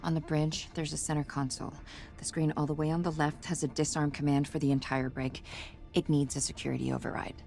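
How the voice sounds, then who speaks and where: A woman's voice: low-pitched, little pitch movement, brisk, gravelly, darkish tone, soft background music. An actress voicing a tough young woman prisoner in a video game, curt and blunt, swearing freely. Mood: tense.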